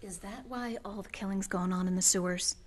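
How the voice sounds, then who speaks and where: A woman's voice: mid-range voice, steady tone, a little fast, close to an everyday voice. A woman acting in an audio drama as a hard, suspicious queen of an underground gang, curt and commanding. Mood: wary. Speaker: actor.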